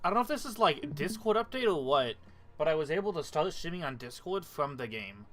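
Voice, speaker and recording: A man's voice: a little high, very animated, fairly quick. A young man hosting a live stream, chatting casually with friends in a dry, joking way. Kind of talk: casual chat while setting up a stream.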